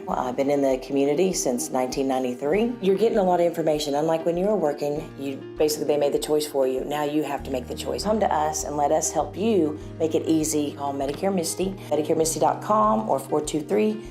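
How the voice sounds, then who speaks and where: A woman's voice: low voice, fast talker, hardly any pauses. A middle-aged woman speaking as a business owner in an advertising testimonial. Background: acoustic guitar music.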